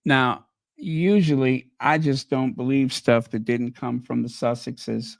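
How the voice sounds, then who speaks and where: Slow, chatty man hosting a livestream. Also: mid-range voice, fairly even intonation, gravelly, no echo, studio quality. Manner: chatty and musing, thinking aloud with many ums. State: losing his voice. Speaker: channel host. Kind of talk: casual livestream chat.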